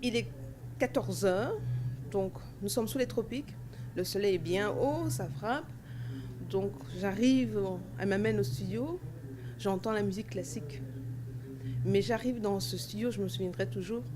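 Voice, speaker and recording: A woman's voice: medium pitch, lively intonation, a little slow. A woman in her forties from Cameroon, answering interview questions at length with many fillers and restarts. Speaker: dancer and festival organizer. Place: outdoor festival grounds. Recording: festival ambience noise behind.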